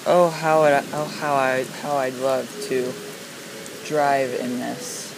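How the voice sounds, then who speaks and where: An excitable amateur storm watcher filming and commenting on the weather in exclamations, often repeating words for emphasis. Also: mid-range voice, takes it slowly. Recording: home video with rain noise behind. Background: heavy rain.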